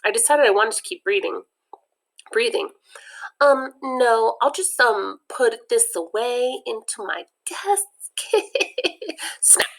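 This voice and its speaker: A woman reads a children's comic book aloud in a chatty way. She keeps pausing to offer her own asides between passages. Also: a little high, expressive, vocal fry. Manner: chatty, adds personal comments between passages. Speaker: read-aloud narrator.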